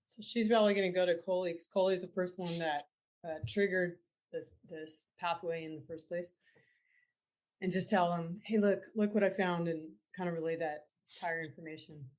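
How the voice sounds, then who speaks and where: A woman's voice: lowish voice, fairly even intonation, moderate pace. A woman chatting casually as a player in a tabletop role-playing game.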